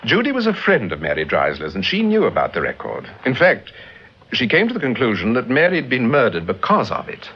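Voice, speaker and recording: An actor playing a suave, clever British detective in mid-century radio drama style, on an old recording. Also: male voice, medium pitch, wildly swooping pitch, a little fast, slightly rough, lo-fi sound, heavily acted. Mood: assured, explaining. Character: suave, clever amateur detective husband, patiently explaining.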